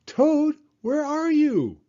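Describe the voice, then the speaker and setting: A man reading a storybook aloud who voices an eager, excited male frog in a high voice, calling out to his sleepy friend. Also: drawn-out words, highs cut off, full character voice. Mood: excited shouting.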